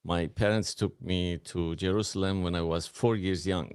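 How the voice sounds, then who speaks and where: A man's voice: low-pitched, fairly even intonation, moderate pace, darkish tone, clear, resonant tone, no echo. A man in his sixties from Lebanon, a guest photographer telling his life story in a studio interview with dry joking asides. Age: 60s. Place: podcast studio.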